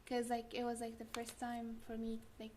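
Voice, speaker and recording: Young female student from Syria; relaxed, filler-heavy answers in a monotone, light noise. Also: a little high, moderate pace. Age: young adult. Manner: casual, frequent "like" fillers. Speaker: student guest. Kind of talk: interview answers.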